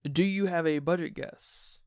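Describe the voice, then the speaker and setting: A man's voice: a little high, unhurried, a little mellow, clear, resonant tone, no room sound, clean studio sound. A man hosting a podcast, casually discussing a film and addressing his listeners. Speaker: podcast host.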